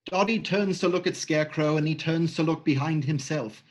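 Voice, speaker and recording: A man reads stage directions aloud in a plain, even manner, with a slightly higher pitch than most men. He keeps the reading steady and matter-of-fact. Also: normal speed.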